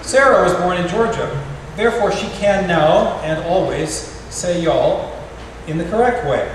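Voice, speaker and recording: Middle-aged man reading in an echoey hall. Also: high voice. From United States. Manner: gentle, wry, measured reading with pauses. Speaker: parish artist in residence reading a poem. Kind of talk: poetry reading at a farewell gathering. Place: large echoey parish hall. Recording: echoey hall from far off, noisy.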